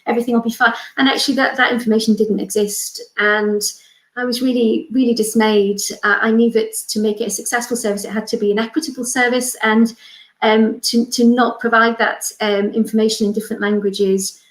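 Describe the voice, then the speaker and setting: A woman's voice: fairly high pitch, level tone, brisk, reverberant, poor audio. A Welsh woman in her forties, a respiratory consultant, talking earnestly on a panel with many fillers and restarts. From Wales. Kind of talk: panel talk.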